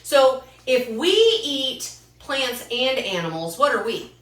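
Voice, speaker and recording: A woman's voice: on the high side, expressive, slow, a bit bright. A woman leading an interactive read-aloud lesson for children, asking prompting questions and repeating answers back. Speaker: teacher reading aloud to children. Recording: roomy echo, distant mic.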